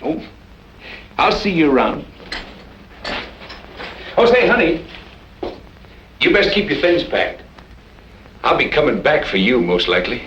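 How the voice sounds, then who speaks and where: Cocky cowboy with lively, swooping pitch. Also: male voice, unhurried, long pauses. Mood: teasing. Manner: folksy drawl, taunting, nonchalant. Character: cocky, easygoing cowboy gunhand, needling and unbothered. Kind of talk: western film acting. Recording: muffled, noisy old film soundtrack.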